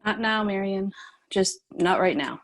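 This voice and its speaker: A woman's voice: mid-range voice, a little slow, close to an everyday voice. An actress in a screenplay table read playing a tough, defiant, protective female bounty cop with a firm, curt manner. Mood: protective, tense.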